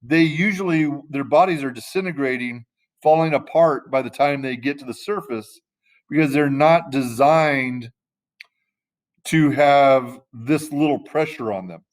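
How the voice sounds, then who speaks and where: A man teaching a class in a casual, conversational way, often pausing to search for the right word. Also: medium pitch, low-quality recording. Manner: loose and conversational, searching for words mid-sentence.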